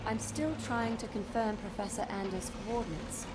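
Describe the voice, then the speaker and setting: A woman's voice: moderate pace, natural voice. A woman voicing a dry-witted, efficient ship AI in a scripted video game, giving clipped military reports. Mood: brisk, businesslike. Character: dry-witted female ship AI, crisp and sardonic. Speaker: ship's artificial intelligence. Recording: loud music and vehicle rumble behind.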